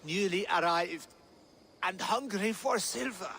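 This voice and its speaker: An actor playing a captured Geat raider who drawls slow, mocking answers to his captors. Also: high voice, pushed and bright, a little hiss or hum, heavily acted. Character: captured male Geat raider, sly and defiant. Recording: game cutscene with faint ambience.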